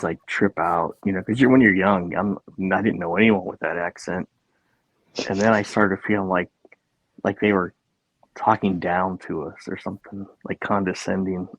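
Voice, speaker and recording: A man's voice: low-pitched, creaky, no echo, poor audio. A man co-hosting a podcast, laid-back and slangy, with lots of fillers. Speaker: podcast co-host. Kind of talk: casual chat.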